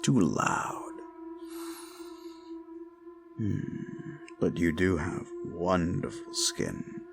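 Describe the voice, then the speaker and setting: A man playing a cruel, menacing slasher killer in a horror audio roleplay, taunting the listener with a gravelly voice and drawn-out lines that often sink into whispers. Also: low voice, wildly swooping pitch, full character voice. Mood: menacing, gloating. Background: music.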